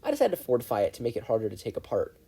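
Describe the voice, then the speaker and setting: An earnest teenage boy, eager yet unsure of himself, played by a podfic narrator. He has a mild, soft tone with lifted, lively intonation. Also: mid-range voice, rapid-fire, creaky, slightly rough, heavily acted.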